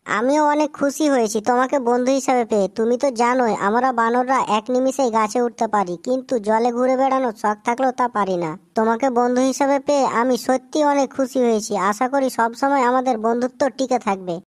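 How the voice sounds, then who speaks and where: Woman as a high-voiced clever cartoon monkey. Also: a little fast, no room sound, studio quality, natural voice. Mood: happy, friendly. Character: clever, cautious, friendly little monkey. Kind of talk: cartoon moral-tale character acting.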